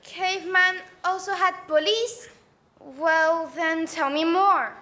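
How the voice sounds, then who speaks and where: A woman's voice: very high-pitched, slow pace, sharp tone, exaggerated voice. A teenage girl acting an upset, sulky schoolgirl in a school readers theater play. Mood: curious. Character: upset, bullied schoolgirl Jamie, sulky and defiant. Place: school stage in an auditorium.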